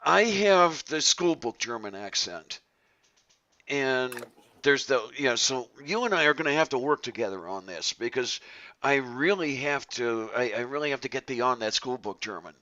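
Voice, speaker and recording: Chatty man with a bright voice. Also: medium pitch, lively intonation, moderate pace. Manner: chatty, laughs often, restarts sentences. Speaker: host of a live chat stream. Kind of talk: casual live-stream chat. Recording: dull lo-fi stream audio.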